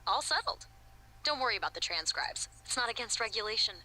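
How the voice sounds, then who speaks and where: Blunt, assertive space-station woman, very crisp forceful tone, dry teasing, naturalistic video game log. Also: fairly high pitch, lively intonation, brisk, heavily acted, low-quality recording. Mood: bossy, teasing.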